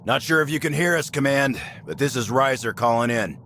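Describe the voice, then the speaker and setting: An actor playing a cocky, gruff action-game soldier with a gravelly voice and curt, deadpan quips. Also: male voice, mid-range voice, fairly quick, slight background noise. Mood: businesslike. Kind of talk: video game cutscene acting.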